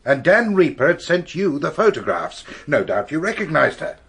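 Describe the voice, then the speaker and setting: A man's voice: fairly high pitch, sing-song, normal speed, a bit bright, slightly rough, full character voice. An actor playing a methodical, accusing British police investigator in a radio drama, formal and precise as he presses his case. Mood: pressing, methodical.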